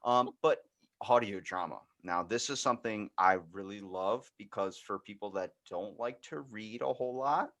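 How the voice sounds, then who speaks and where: A man's voice: lowish voice, normal speed, a bit bright. A man hosting a podcast in a casual, rambling way, peppering his talk with "like". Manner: informal, rambling, heavy use of "like". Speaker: podcast host. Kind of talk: casual chat, interviewing.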